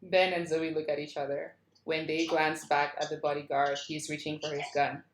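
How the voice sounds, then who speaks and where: A woman's voice: low-pitched, level tone, moderate pace, slight background noise. A woman reading screenplay stage directions as a narrator at a table read. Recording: echoey room.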